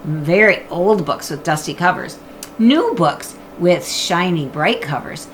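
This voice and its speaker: A woman narrating a children's book in an expressive storytelling style. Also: fairly low pitch, measured pace, very noisy. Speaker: audiobook reader.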